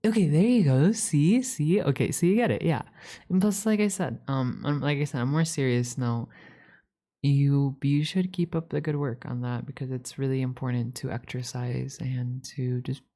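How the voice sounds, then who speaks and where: A man's voice: mid-range voice, moderate pace, warm tone, close to an everyday voice. A man playing an eager, affectionate young puppy-boy roommate in a casual ASMR roleplay, rambling earnestly with stammers and repeated words. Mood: playful, excited.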